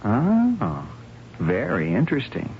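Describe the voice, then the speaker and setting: An actor playing a cocky, breezy young graduate in a radio drama, casual and smug. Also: male voice, medium pitch, wildly swooping pitch, takes it slowly, full character voice. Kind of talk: 1970s radio drama acting. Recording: muffled, noisy 1970s radio broadcast.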